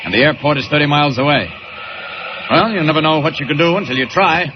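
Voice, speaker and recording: An actor playing a confident hero who thinks aloud in clipped, declamatory remarks, in 1940s radio style. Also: male voice, a little high, expressive, fast talker, natural voice. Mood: wry, determined. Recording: very noisy muffled old radio recording.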